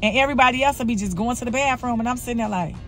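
Woman in her 40s, earnest personal vlogger, soft music under her voice. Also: a little high, very fast. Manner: earnest, confessional, repeats herself. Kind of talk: candid personal vlog monologue.

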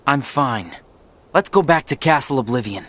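An actor playing an earnest, headstrong, troubled teenage boy in a video game, with halting delivery. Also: medium pitch, lively intonation, a bit bright. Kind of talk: video game cutscene voice acting.

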